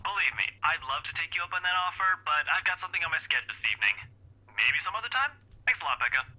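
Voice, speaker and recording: A man's voice: a little high, lively intonation, rapid-fire, bright, forceful tone, heavily acted. An actor playing a young man, a concerned friend, through a phone call filter in a visual novel game. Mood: friendly.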